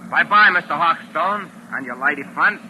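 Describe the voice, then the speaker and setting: A man's voice: a little high, expressive, moderate pace, pushed and bright. Dumb jumpy american henchman, 1940s radio crime show, piercing edgy voice, bit of noise. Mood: callous, mocking. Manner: slangy tough-guy talk. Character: dim, jumpy American gangster henchman, big punch-drunk lug. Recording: noisy old 1940s radio recording.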